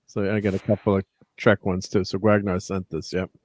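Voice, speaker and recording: Low-voiced, easygoing man chuckling along. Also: level tone, brisk, no room sound. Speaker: co-host. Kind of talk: casual livestream chat.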